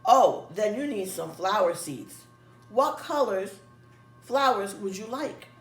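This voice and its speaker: A woman's voice: mid-range voice, expressive, slow pace. A woman voicing a friendly, helpful male shopkeeper in a children's storybook, with chirpy, sing-song emphasis. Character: friendly, helpful male flower-shop keeper. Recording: echoey room, distant mic, music behind.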